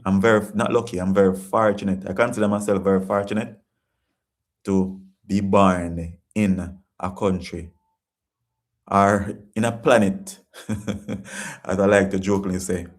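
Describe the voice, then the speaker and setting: Jamaican man, live chat host, earnest, repetitive, lower voice, preachy. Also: steady tone, a little slow, long pauses. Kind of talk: casual live-stream talk reading viewer comments.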